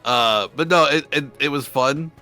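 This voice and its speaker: A man's voice: a little high, a little slow, bright, forceful tone. A man from rural Oklahoma, a guest on a stream, telling casual anecdotes with lots of stammers and restarted sentences. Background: faint background music.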